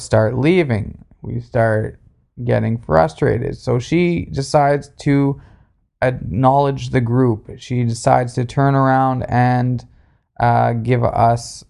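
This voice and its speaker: A man telling a story casually as a podcast host, in a halting, sarcastic way, speaking close to a handheld recorder. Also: medium pitch, gravelly, a little mellow. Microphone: handheld recorder, spoken into closely.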